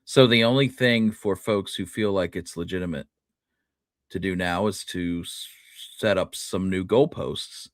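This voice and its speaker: A man co-hosting a casual history discussion, speaking in a measured, diplomatic way and qualifying his points. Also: low voice, no echo, clean studio sound. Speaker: podcast co-host.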